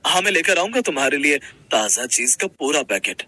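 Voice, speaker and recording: A man playing a simple, humble working husband, gentle, earnest and a little hesitant, in a Hindi moral-story cartoon. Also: on the high side, sing-song, a little fast, no echo, exaggerated voice. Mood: pleased, gentle.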